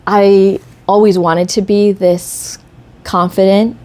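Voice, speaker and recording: A woman in her late twenties, a musician raised in Florida, chatting as a podcast guest in a relaxed, agreeable way. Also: medium pitch, takes it slowly. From born in South Africa, raised in Florida. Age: late 20s. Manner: relaxed, agreeable, many "yeah"s. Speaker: guest, electric violinist. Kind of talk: casual interview.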